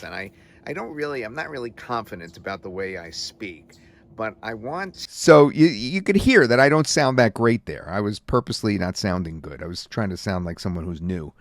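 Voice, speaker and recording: Casual man demoing software. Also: lowish voice, expressive, moderate pace, no echo. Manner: casual, filler-heavy talk. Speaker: software reviewer. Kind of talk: screen-recorded software demo.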